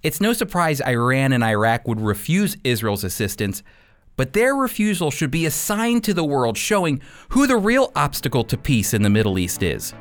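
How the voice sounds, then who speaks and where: Animated male radio Bible teacher. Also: mid-range voice, moderate pace. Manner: emphatic, repeats key points for stress.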